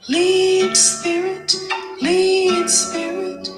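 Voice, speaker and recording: A woman's voice: very high-pitched, monotone, very slow. A woman singing a devotional song as a solo vocalist. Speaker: singer. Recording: reverberant, with plucked guitar accompaniment. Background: guitar music.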